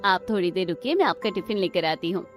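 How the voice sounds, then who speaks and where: A woman's voice: on the low side, animated, fast talker, soft background music, natural voice. A woman voicing a cheerful, resourceful young daughter-in-law in a cartoon family drama. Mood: cheerful, obliging.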